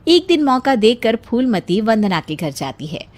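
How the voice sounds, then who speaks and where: A woman's voice: fairly high pitch, very animated, moderate pace. A woman narrating a scripted children's story as its storyteller.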